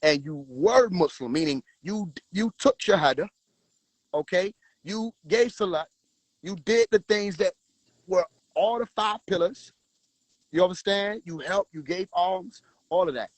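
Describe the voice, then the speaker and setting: High-voiced preachy man lecturing his viewers. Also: measured pace, dry recording, poor audio. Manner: forceful, preachy, addresses viewers directly. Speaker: livestream host. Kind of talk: casual livestream talk.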